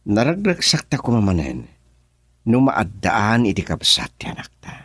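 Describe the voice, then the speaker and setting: Doting husband, Ilocano accent, very low pitch, swinging intonation, radio drama style. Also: male voice, wildly swooping pitch, normal speed, fairly bright tone, breathy edge, no echo, clean studio sound, heavily acted. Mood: fond, cheerful.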